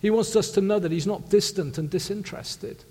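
A man from South Wales with a South Welsh accent, preaching an earnest sermon that swings from loud emphasis to hushed intimacy. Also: high-pitched, brisk. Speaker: pastor. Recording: long reverb of a large hall.

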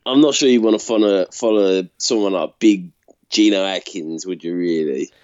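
A man's voice: deep voice, moderate pace, darkish tone, no room sound. A man co-hosting a podcast in casual chat, joking and laughing often. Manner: joking, laughs a lot, teasing. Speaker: podcast co-host. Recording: dull lo-fi audio.

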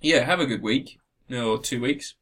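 A man's voice: medium pitch, measured pace, a bit bright. Guy co-hosting a gaming podcast, mid-range voice, loose informal chat, bit sweary. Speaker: podcast co-host.

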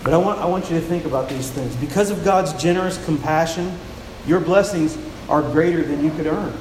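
Soft-spoken pastor in an echoing hall. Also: male voice, a little high, brisk. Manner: soft-spoken, with frequent repeated words and restarts. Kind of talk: sermon with scripture reading. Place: church with a large echoing room. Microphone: handheld mic. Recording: echoey, reverberant hall with noise.

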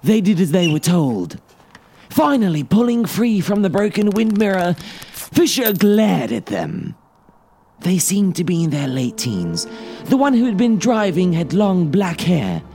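A man narrating a story in a theatrical, expressive, dramatic audiobook style. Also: high voice, unhurried. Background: music.

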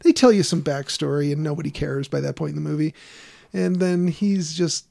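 A man's voice: fairly high pitch, moderate pace, gravelly, dark tone. A man casually recapping a horror film on a podcast, rambling and wry, with frequent fillers and laughs. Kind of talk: casual comedic chat.

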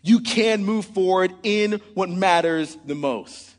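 A man's voice: sits high, takes it slowly, in a large hall. A man in his forties preaching a sermon in earnest, often opening with "man" or "look". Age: 40s. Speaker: pastor.

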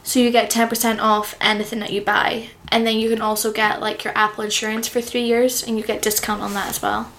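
A woman's voice: fairly high pitch, little pitch movement, fairly quick, hardly any pauses, a bit bright, slight background noise. A young woman co-hosting a podcast in giggly casual chat, full of fillers. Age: young adult. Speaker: podcast co-host.

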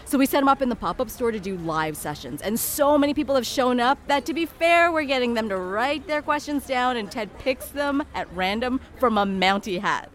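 An actress playing an eager young assistant with a gushing, informal, chatty way of talking. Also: female voice, fairly high pitch, animated, a little fast, few pauses, slight background noise, lightly acted. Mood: excited. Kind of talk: audio drama acting.

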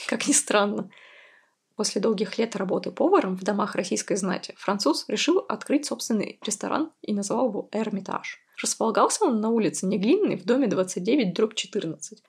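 A woman's voice: medium pitch, gravelly, breathy edge. A woman hosting a podcast, going through quiz answers and explaining them in a chatty, explanatory way. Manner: chatty, explanatory, with light laughs. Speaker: podcast host.

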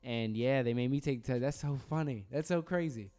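Male podcast co-host from New York, mellow and smooth, easygoing and conversational. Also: medium pitch, brisk. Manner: relaxed, conversational, hedging. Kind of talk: casual podcast discussion. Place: studio room across from co-host.

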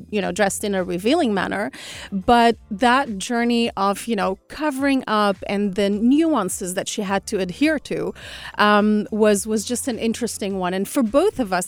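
A middle-aged woman from Algeria, a fashion entrepreneur answering radio interview questions in a measured, thoughtful way with frequent fillers. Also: mid-range voice, dry recording. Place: radio studio.

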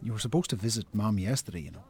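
An actor playing an exasperated, dry-tongued Irish brother chiding a drinker in a naturalistic radio drama. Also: male voice, fairly low pitch, expressive, racing, a little mellow, close to an everyday voice. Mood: reproachful.

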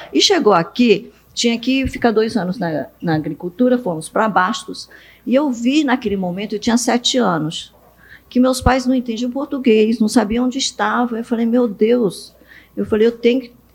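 A woman's voice: a little high, a little mellow. Older woman telling family stories. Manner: laughing, self-deprecating. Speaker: business guest on a panel. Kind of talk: casual anecdotal talk.

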